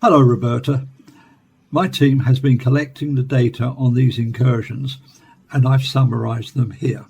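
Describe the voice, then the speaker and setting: A man's voice: medium pitch, fairly even intonation, moderate pace, gravelly, darkish tone, slight background noise, close to an everyday voice. An actor playing an earnest, precise university professor explaining his findings emphatically in a radio play interview. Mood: matter-of-fact.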